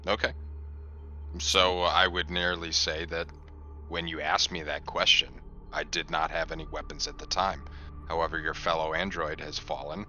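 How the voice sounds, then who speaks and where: A man talking as a player at a tabletop roleplaying game, with a halting, hesitant delivery. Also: rumbling low, level tone, sharp tone, highs cut off, soft background music. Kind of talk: in-character game table chat. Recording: clear background noise and ambience.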